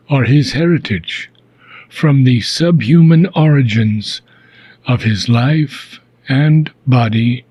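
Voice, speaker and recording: A man with a husky voice reads philosophical prose aloud as an audiobook, solemn and deliberate, pausing between short phrases. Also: medium pitch, darkish tone, no echo. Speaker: reader of a philosophy book. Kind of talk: slow, measured audiobook reading of philosophical prose.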